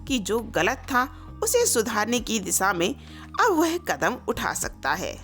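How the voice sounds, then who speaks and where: A woman narrates a scripted moral tale at a slow, measured pace. Her voice sits fairly high and moves in wide, animated swings of pitch, with music playing underneath. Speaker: storyteller.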